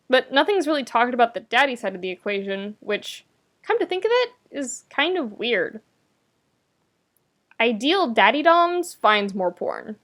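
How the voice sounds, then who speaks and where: A woman's voice: fairly high pitch, fairly bright tone. A woman reading fiction aloud as a narrator, with a wry, conversational and emphatic delivery. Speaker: podfic reader, narrator. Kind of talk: scripted narration of fan fiction, expressive audiobook reading.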